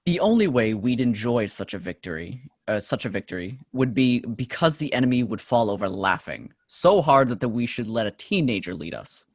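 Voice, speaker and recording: A man's voice: mid-range voice, big pitch swings, normal speed, few pauses, no echo. A man playing a scornful, scheming medieval French soldier in a play reading, mocking and theatrical. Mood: mocking doubt. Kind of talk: stage play table read.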